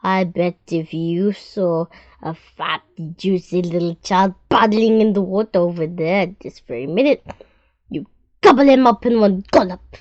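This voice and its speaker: A woman's voice: lowish voice, darkish tone, no room sound, close to an everyday voice. A woman voicing a greedy, boastful male crocodile in a storybook reading, drawing out her menacing lines. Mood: gloating. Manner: gloating, drawn-out menacing delivery. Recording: muffled lo-fi recording.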